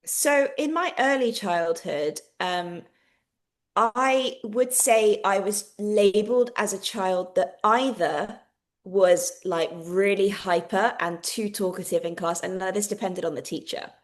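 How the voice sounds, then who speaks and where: A woman's voice: mid-range voice, takes it slowly. A woman, a guest on a podcast, reflecting openly on her childhood and diagnosis. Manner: open, reflective, many fillers. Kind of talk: candid personal account.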